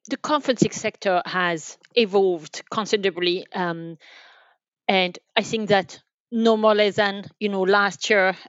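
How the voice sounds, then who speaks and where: A woman's voice: mid-range voice, dry recording, dull audio. A woman from France with a French accent, giving conversational answers as a podcast interview guest. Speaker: podcast guest, telecom sales manager.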